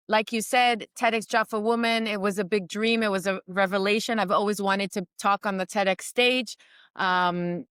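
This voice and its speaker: A woman's voice: medium pitch, fairly bright tone, smooth, no echo, studio quality. A woman in her forties from New York, a guest on a video-call interview, telling her story reflectively with many ums and restarts. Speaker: guest entrepreneur and mentor. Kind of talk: remote interview conversation. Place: home in Israel during a storm.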